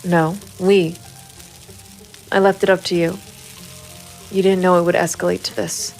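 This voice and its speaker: A gritty noir lady detective, low flat delivery, grim and determined, audio drama narration. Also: measured pace, faint music behind, rough recording. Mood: cold, resolute. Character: hard-boiled, brooding female private investigator, quietly determined. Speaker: voice actor narrating and playing the lead of an audio drama.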